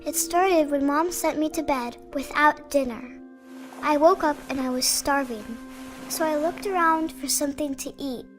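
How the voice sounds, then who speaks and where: A woman's voice: high-pitched, normal speed, soft background music, close to an everyday voice. A woman playing an earnest, hungry little girl narrating her diary in a story game. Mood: earnest, matter-of-fact.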